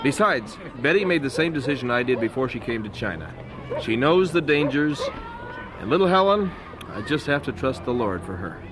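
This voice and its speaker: A man playing a devout, resolute young missionary husband in a radio drama, earnest and gentle. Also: medium pitch, sing-song, moderate pace, noisy background, lightly acted. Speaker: voice actor in an audio drama. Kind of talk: radio drama dialogue acting.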